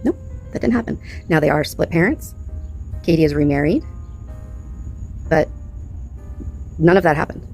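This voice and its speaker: Lower-pitched woman giving opinionated reaction commentary. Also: lively intonation, normal speed, creaky, long pauses, darkish tone, soft background music. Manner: emphatic repetition, rhetorical questions. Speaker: commentary channel host reacting to clips.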